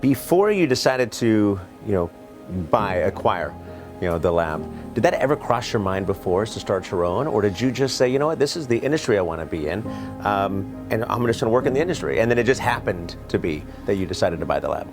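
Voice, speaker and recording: A man hosting an interview show, asking questions in a low, measured tone. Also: animated, music in the background. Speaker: host. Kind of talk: interviewer, upbeat opener to camera.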